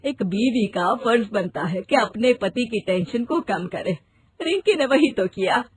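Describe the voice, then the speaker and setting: A woman's voice: a little high, expressive, measured pace, husky, dry recording, exaggerated voice. A woman voicing a fussy, scolding older mother-in-law with a dramatic way of talking. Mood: fretful.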